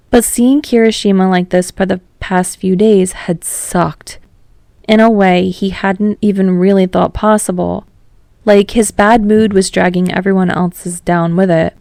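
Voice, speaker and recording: A woman's voice: fairly low pitch, a little mellow, dry recording, studio quality. A woman reading scripted fiction aloud as a narrator.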